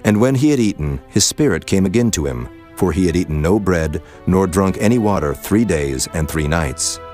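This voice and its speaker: A man narrating scripture from a script in a solemn, measured storytelling manner. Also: low-pitched, animated, darkish tone, soft background music.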